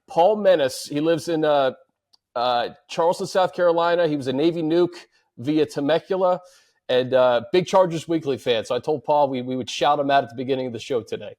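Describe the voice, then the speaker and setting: A man's voice: a little high, a little fast, a little mellow. A man hosting a sports podcast, chatting in a casual, informal and upbeat way. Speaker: podcast host.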